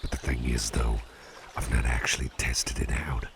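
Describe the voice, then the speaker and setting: A man playing a calm, caring, playful businessman boss in a slow, intimate ASMR roleplay, speaking in a soothing, gravelly, very deep murmur. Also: background noise. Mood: confiding. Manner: soothing, unhurried, repeats short phrases.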